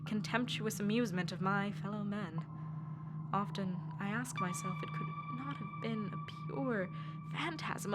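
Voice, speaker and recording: A woman's voice: mid-range voice, close to an everyday voice. A woman playing a haunted, doomed shipwreck survivor in a grave, theatrical gothic horror reading. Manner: theatrical, grave, literary delivery. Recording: voice under loud ambient score.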